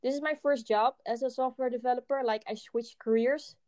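A woman's voice: on the high side, steady tone, fairly quick, a little mellow, no room sound, studio quality. A young woman from the Netherlands with a Dutch accent, chatting as a podcast guest, hesitant with many ums and frequent laughs. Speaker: podcast guest, software developer. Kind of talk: casual interview chat.

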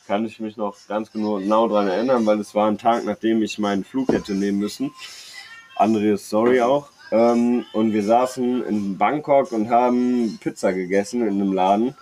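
A man's voice: fairly low pitch, steady tone, normal speed, mellow, faint music behind. A young man chats casually as a podcast co-host, telling personal anecdotes in a slangy, rambling, jokey way. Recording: clear background noise.

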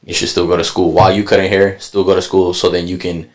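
Man from Puerto Rico, very low voice, barber, casual, says "like" a lot. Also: flat delivery, quick pace, a little mellow. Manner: loose, conversational, frequent "like". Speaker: barber, podcast panelist. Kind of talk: casual panel chat.

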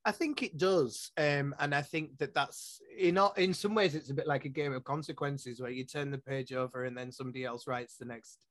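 A man's voice: medium pitch, normal speed, few pauses, dry recording. A man from Yorkshire with a Yorkshire accent, a poet talking in an interview in a thoughtful, rambling way with frequent restarts. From Yorkshire, England. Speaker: poet and publisher. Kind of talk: interview conversation.

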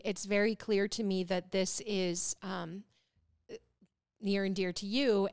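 A woman co-hosting a podcast, gently leading into an interview question. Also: medium pitch, smooth, no echo, clean studio sound. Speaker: podcast co-host.

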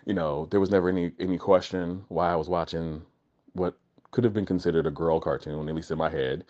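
A young man telling a childhood story in a relaxed chat, hesitant and full of ums and restarts. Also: low voice, brisk. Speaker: guest. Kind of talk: casual personal storytelling. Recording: dull lo-fi recording.

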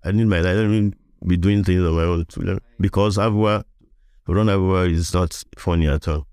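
Male interview guest, Nigerian accent, low register, conversational with emphatic repetition, dry close recording. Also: steady tone, normal speed, a little mellow, smooth, no room sound. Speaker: podcast guest, veteran voiceover artist.

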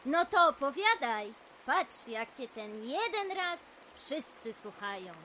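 An actress playing a bossy, teasing older village wife in an audio drama, with a mocking drawl. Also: female voice, sits high, very animated, takes it slowly, sharp tone, slight background noise, full character voice.

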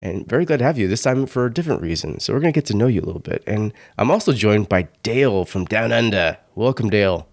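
Upbeat low-voiced podcast host man. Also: expressive, quick pace, vocal fry, hardly any pauses, no room sound, clean studio sound. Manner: upbeat, joking, laughs often. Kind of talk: casual podcast interview.

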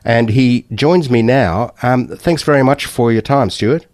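A man's voice: medium pitch, measured pace, a little mellow, dry recording, studio quality. A man hosting a community radio show, who asks questions in a hesitant way and often stumbles over his words. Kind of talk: radio interview.

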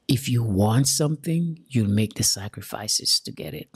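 A Jamaican-accented man from Jamaica giving an earnest, deliberate motivational talk straight to his viewers, repeating key lines for emphasis. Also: mid-range voice, animated, vocal fry, breathy edge, no echo. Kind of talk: motivational direct-to-camera talk.

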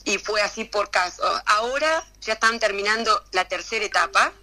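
A woman with an Argentine Spanish accent answers questions in an easy, chatty way. Her voice is very bright and bright-edged. She often hesitates, trailing off and starting again. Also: medium pitch, expressive, moderate pace. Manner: hesitant, many fillers and restarts. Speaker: interview guest. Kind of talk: casual conversational interview.

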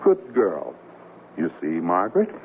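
A man's voice: deep voice, huge pitch swings, a little slow, full character voice. An actor playing a wry, middle-aged American newlywed businessman with a dry, wisecracking delivery in a radio comedy drama. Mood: mildly exasperated. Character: newlywed businessman husband, wry, playful, mildly jealous. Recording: tinny, noisy old radio broadcast recording.

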